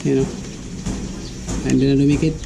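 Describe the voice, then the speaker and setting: Creaky-voiced man, casual tutorial chat. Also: mid-range voice, level tone, moderate pace, heavy background noise. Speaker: vlogger giving a DIY tutorial.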